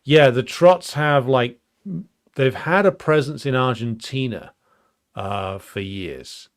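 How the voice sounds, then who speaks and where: A man hosting a live stream, chatting casually and reading out viewer comments, halting with many ums and uhs. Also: medium pitch. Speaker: livestream host.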